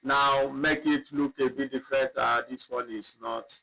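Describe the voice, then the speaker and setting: A man teaching a live online spreadsheet lesson, talking slowly and repeating himself as he clicks through data. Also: medium pitch, fairly even intonation, vocal fry, bright, forceful tone, husky, rough recording. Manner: slow, repetitive, thinking aloud while clicking. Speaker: instructor. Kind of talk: live online software tutorial.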